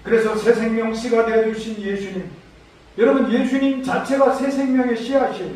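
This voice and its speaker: A man's voice: extremely high pitch, steady tone, moderate pace, a little mellow. A man preaching a Sunday sermon as a pastor, exhorting with emphasis. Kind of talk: Sunday sermon with scripture readings. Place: large church sanctuary during a service. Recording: echoey church hall, far from mic.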